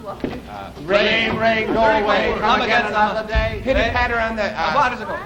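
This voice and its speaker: High-voiced man hosting a vintage TV game show. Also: moderate pace. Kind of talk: live television game show hosting, guessing and thanking guests. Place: television studio. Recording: vintage TV soundtrack, lively studio crowd.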